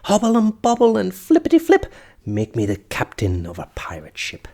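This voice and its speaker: A man's voice: high-pitched, huge pitch swings, moderate pace, vocal fry, darkish tone, voice pushed up, heavily acted. A man voicing a gleeful, boastful young witch who chants rhyming spells in a storybook. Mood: incensed.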